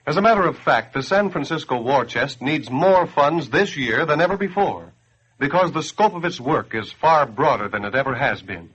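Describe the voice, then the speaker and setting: Casting: male charity announcer, husky and formal, patiently persuasive, vintage radio delivery, muffled recording. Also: fairly high pitch, expressive, moderate pace, a bit bright. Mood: explanatory. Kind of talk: scripted 1940s radio announcing. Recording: dull lo-fi 1940s radio recording.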